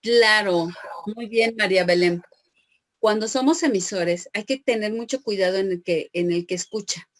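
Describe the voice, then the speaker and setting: A woman's voice: medium pitch, normal speed, a little hiss or hum. A woman teacher, lecturing an online class, patiently questioning students and praising them by name.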